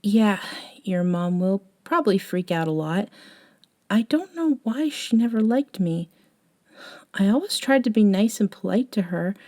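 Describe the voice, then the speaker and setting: A woman playing a gentle, eccentric young woman, a caring friend, in an intimate ASMR audio roleplay, soft-spoken with hesitant pauses. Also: mid-range voice, animated, lightly acted. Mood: amused, wry.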